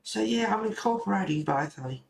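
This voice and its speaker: A man chatting casually and informally, rambling along as he hosts a relaxed hobby stream. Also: high-pitched, fairly quick, vocal fry. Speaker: host of a colouring club stream. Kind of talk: casual rambling chat while colouring. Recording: roomy echo, faint background noise.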